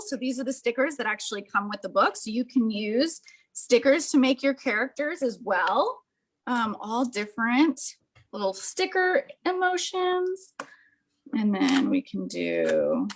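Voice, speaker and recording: Woman, fairly high voice, art demonstrator, chatty and gushing, casual how-to delivery. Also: fairly bright tone. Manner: enthusiastic, gushing. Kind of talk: casual hands-on craft demonstration.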